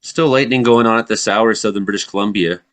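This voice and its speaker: A man's voice: fairly low pitch, brisk, fairly bright tone. A middle-aged man hosting a radio show in a casual, chatty style.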